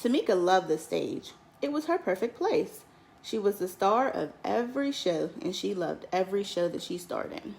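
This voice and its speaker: A woman teacher reading a picture book aloud in an expressive, lilting storytelling manner. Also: mid-range voice, normal speed, creaky. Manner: expressive, lilting storytelling with lifts on key words.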